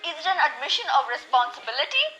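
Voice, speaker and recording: A woman's voice: high-pitched, sing-song, quick pace, sharp tone, soft background music, full character voice. A young woman acting in a radio drama as a brash, eager 1920s newspaper reporter. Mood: eager, punchy. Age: teenager.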